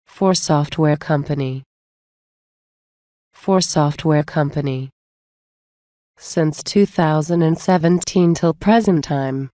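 A woman reading English example phrases for a language lesson, saying many of them twice in a measured way. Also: sits low, mellow, no echo, studio quality. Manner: measured, repeats each phrase slowly. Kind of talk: slow scripted phrase reading.

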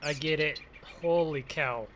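Vehicle noise runs clearly behind a man with a somewhat high-pitched voice. He hosts a farming game video as casual roleplay. His delivery is chatty and folksy, as if talking viewers through the work. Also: a little slow. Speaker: gaming video host. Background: game vehicle engine sounds.

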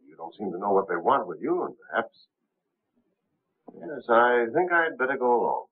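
An actor playing a friendly, folksy police captain in a 1930s film. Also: male voice, medium pitch, big pitch swings, normal speed, close to an everyday voice. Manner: folksy, eager to help. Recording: tinny 1930s film soundtrack.

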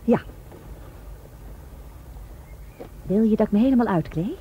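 A woman's voice: medium pitch, expressive, quick pace, highs cut off, a little hiss or hum, natural voice. An actress playing a teasing, sensual young Japanese woman in a Dutch radio drama, intimate and playful. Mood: coy.

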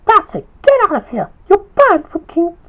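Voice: extremely high pitch, huge pitch swings, moderate pace, pitched above the performer's own voice, heavily acted. An amateur storyteller voicing a stern, bossy female restaurant boss who scolds in exasperation. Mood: angry scolding. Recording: dull, lo-fi home recording.

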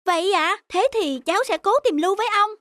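A woman's voice: extremely high pitch, brisk, a bit bright, dry recording, studio quality. A woman voicing an eager, chatty, slightly whiny little child in a cartoon. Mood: concerned. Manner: childish, chatty, polite to elders. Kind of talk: cartoon voice acting. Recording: music playing behind the voice.

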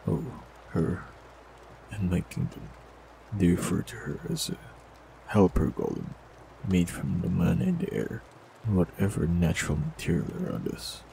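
A man with a very deep, gravelly voice speaks calmly and gently into a close mic, with a soft teasing edge. He performs a scripted fantasy audio roleplay. A faint hiss sits under the recording. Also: normal speed, poor audio. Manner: calm, gentle, softly teasing.

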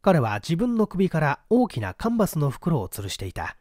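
Animated male storyteller, close and dry. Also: on the high side, normal speed, dry recording. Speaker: audiobook narrator. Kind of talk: dramatic literary novel reading.

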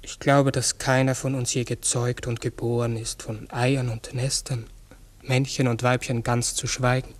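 An actor playing a pensive, courteous, human-sized talking raven in a 1960s German radio play, speaking hesitantly and formally. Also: male voice, medium pitch, flat delivery, unhurried, lightly acted. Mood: unsure, courteous.

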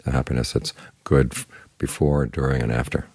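The very low, husky man you would hear at a morning meditation sitting, calmly guiding everyone in plain words, close and dry in the ear. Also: fairly quick, dry recording. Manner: calm, instructive, plain-spoken. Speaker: Buddhist monk, meditation teacher. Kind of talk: short spoken Dhamma talk guiding meditation.

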